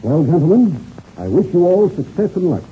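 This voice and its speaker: An actor playing a formal, courteous race official in a 1930s radio serial, speaking in deliberate speeches. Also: male voice, on the high side, sing-song. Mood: formal, firm. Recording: muffled old radio recording, faint noise.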